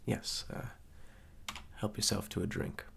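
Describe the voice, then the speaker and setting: A man playing a courteous but ominous clerk at a haunted hotel, speaking softly and slowly in an ASMR roleplay. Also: noisy background, natural voice. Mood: polite, welcoming. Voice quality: soft-spoken, low, gravelly. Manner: slow, measured, hushed, politely eerie.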